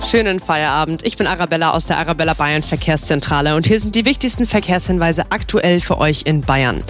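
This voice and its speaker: A woman giving a radio traffic report in a brisk, friendly way, speaking directly to the listeners. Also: sits low, soft background music.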